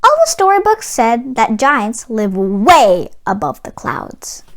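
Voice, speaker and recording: Very high-voiced woman reading a children's story. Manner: expressive storytelling. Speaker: storybook reader. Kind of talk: children's read-aloud narration.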